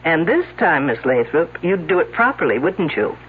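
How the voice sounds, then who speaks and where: A confident detective in a vintage radio mystery who needles everyone with sardonic quips. Her delivery is lively and bounces up and down in pitch. It sounds thin and tinny, like an old radio broadcast. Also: moderate pace, husky, exaggerated voice. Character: wisecracking, confident female detective.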